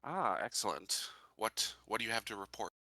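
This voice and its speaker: A man playing a tabletop roleplaying game, asking questions casually and a little haltingly. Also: fairly low pitch, animated, bright, forceful tone, dry recording, low-quality recording. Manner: inquiring, slightly halting.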